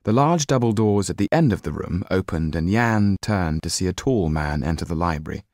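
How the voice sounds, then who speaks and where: A man narrating a novel as an audiobook in expressive, measured storytelling. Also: sits low, dry recording, studio quality.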